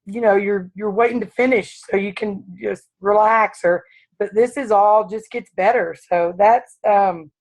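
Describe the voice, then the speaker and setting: Raspy woman sharing on a call. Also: mid-range voice, steady tone, darkish tone, rough recording. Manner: halting, earnest, many restarts. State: just woke up. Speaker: caller.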